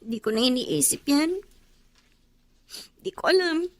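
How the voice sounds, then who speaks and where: Woman doing a sad teen girl, high and soft, kinda teary, dry close sound. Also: expressive, unhurried, dry recording. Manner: soft, tearful, downcast. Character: teenage girl, sad and worried about her father.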